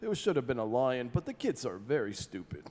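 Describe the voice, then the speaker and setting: A man playing a blind-drunk, bumbling narrator in improvised radio comedy, halting and confused. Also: mid-range voice, big pitch swings, warm tone, long reverb tail. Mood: rambling.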